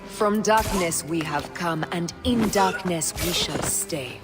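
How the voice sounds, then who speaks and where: An actress playing a grim, resolute woman assassin in a game cutscene, speaking in a cold, low, often whispered manner. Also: takes it slowly, music in the background. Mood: solemn.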